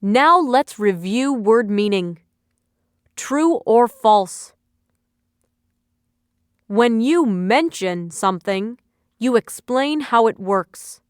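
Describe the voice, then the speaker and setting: A woman reading scripted educational narration as a quiz instructor, with deliberate, instructional phrasing. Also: a little high, animated, clear, resonant tone, dry recording, clean studio sound.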